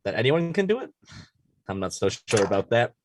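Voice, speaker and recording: Actor as anxious twenties baker, offhand comedy read, lively mid-range male voice, faint hiss and clicks. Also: medium pitch, fairly quick, natural voice. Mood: distracted, curt. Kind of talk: comedy pilot table read.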